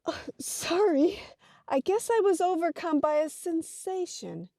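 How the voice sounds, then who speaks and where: A woman's voice: extremely high pitch, measured pace, mellow, no room sound, studio quality. A woman playing an inquisitive, headstrong and dreamy magazine reporter in an audio drama, with polite, theatrical delivery. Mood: entranced, uneasy.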